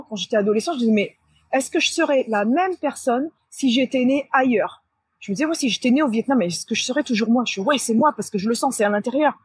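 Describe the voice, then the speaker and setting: A woman with a slightly husky voice talks casually to viewers, explaining things in a halting way with self-corrections. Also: a little high. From France. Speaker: channel host and spiritual coach. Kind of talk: casual vlog talk to viewers. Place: outdoors beside a large tree near a road.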